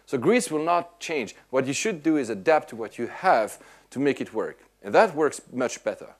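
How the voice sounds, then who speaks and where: A Swiss-born man answering audience questions in casual, animated talk, often restarting his sentences. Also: mid-range voice, normal speed. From Switzerland. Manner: animated, many restarts and repeats. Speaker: tech speaker answering questions. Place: lecture room at an institute.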